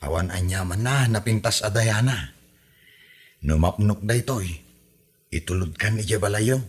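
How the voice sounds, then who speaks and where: A man's voice: on the low side, normal speed, slightly rough. A man with an Ilocano accent playing a good-natured, simple-minded young villager in a comedy audio drama, naive and plain-spoken. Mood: eager, friendly. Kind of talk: amateur Ilocano comedy radio drama acting.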